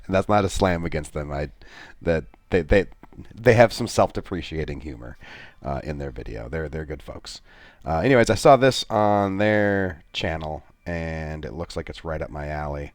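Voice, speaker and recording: A middle-aged man with a soft, very low voice talks through a game walkthrough. His delivery rambles, dotted with filler sounds and self-deprecating asides. The sound is dry, with no room around it. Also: dry recording. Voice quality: soft-spoken. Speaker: gaming video creator. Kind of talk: gameplay walkthrough commentary.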